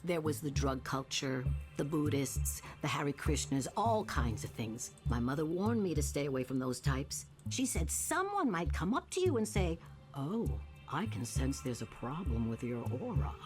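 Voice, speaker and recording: A chatty older woman in a video game, low in pitch and full of lively swoops. She rambles in a folksy, conspiratorial way, eccentric and streetwise, with music playing clearly behind her. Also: moderate pace. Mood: musing, chatty.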